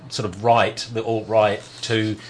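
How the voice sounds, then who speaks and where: A man's voice: lowish voice, flat delivery, a little slow, slight background noise. A man hosting an interview, asking long, searching questions and circling back as he talks. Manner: rambling, searching questions with many restarts. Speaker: interviewer host. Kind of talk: casual interview chat.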